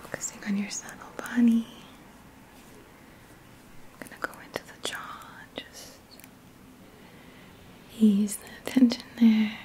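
A woman in her thirties doing gentle, soothing ASMR in a breathy whisper, close to the mic with unhurried pauses. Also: fairly high pitch. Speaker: ASMR host. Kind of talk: ASMR, soft guided relaxation roleplay. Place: small indoor room.

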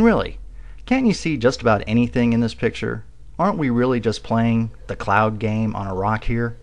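A man narrating a video voice-over with dry, mocking sarcasm. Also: medium pitch.

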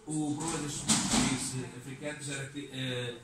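A man in his fifties with a European Portuguese accent, giving a halting classroom lecture full of fillers, recorded from across the room. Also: mid-range voice, flat delivery, heavy background noise. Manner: halting, many fillers and restarts. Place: community classroom with seated audience. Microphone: far camera in the room. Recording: echoey room, voice from a distance.

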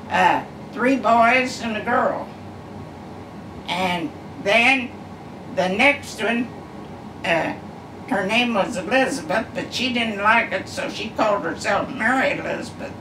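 An elderly woman in her nineties, sitting at home and slowly telling family stories with many pauses and uhs. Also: medium pitch. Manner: slow, rambling storytelling with many uhs. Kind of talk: casual reminiscing. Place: living room at home, seated in armchair. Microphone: camera across the room. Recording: echoey room, far camera, background hum.

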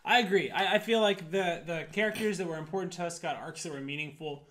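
Man, thirties, somewhat high-pitched, casual conversational reviewer, co-host, unscripted. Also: fast, a bit bright. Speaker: co-host of a movie review show. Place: indoor room, seated before a projection screen.

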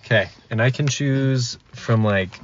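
Guy guest on a podcast, avg pitch, low-key chatty answers, bit lo-fi sound. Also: a little slow. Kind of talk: casual chat.

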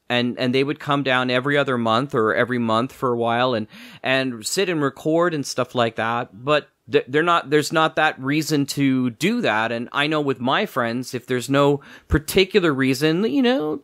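A man's voice: medium pitch, fairly bright tone, no echo. Male podcast interviewer, dry close sound. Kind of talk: casual conversational interviewing.

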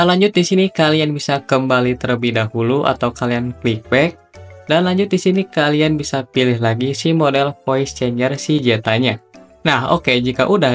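A young man giving a chatty, slangy, step-by-step tutorial voice-over. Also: mid-range voice. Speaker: tutorial host. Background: background music.

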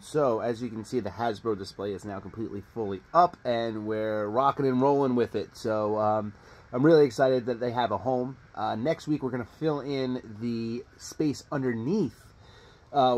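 A man in his thirties hosting a casual toy collecting vlog in a relaxed, chatty way with plenty of fillers, filmed at home on a camera held at arm's length. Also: medium pitch. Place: home toy room, in front of green screen.

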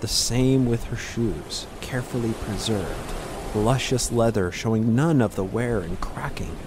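A man's voice: medium pitch, unhurried, noisy background. A man giving a scripted narration of a fantasy story as its narrator.

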